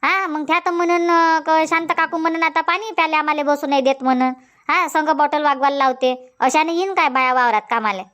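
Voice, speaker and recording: A woman's voice: sits high, steady tone, fairly quick, a bit bright. A woman voicing a nagging middle-aged village farm wife in a comic cartoon, scolding. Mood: indignant. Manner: scolding, insistent, long rants. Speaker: cartoon voice actor.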